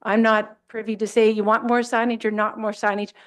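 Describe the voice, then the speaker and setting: A woman's voice: a little high, flat delivery, a little fast. Woman staffer explaining bylaw rules. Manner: procedural, explanatory, frequent fillers. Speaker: municipal staff member. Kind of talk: explaining bylaws at a council meeting. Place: council chamber. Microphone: desk microphone.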